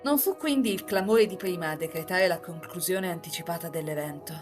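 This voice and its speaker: A woman's voice: fairly low pitch, loud music behind the voice, close to an everyday voice. Composed, enigmatic housemaid read by a woman. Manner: formal, measured storytelling. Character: composed, enigmatic housemaid narrator of an old villa. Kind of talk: scripted visual novel narration.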